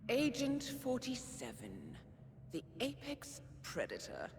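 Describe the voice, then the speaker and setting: An actress playing a cold, taunting spymaster handler in a video game cinematic, measured and mocking in delivery. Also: female voice, a little high, huge pitch swings, background noise, full character voice. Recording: prominent music behind the voice.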